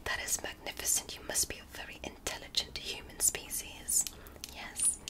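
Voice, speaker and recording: A woman whispering as a gentle alien doctor. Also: natural voice. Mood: soothing curious. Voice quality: breathy whisper. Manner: slow, soft, clinical and fascinated. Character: curious gentle alien doctor examining a human. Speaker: ASMR creator. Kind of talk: whispered ASMR medical roleplay. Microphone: close sensitive microphone.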